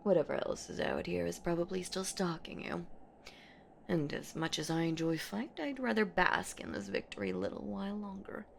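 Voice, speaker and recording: A woman's voice: on the low side, very animated, a little hiss or hum, full character voice. A woman voicing an ancient female boogeywoman in a horror roleplay audio drama, predatory and possessive, with teasing, menacing delivery. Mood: teasing, triumphant. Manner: slow, teasing, menacing, breathy whispers and drawn-out words.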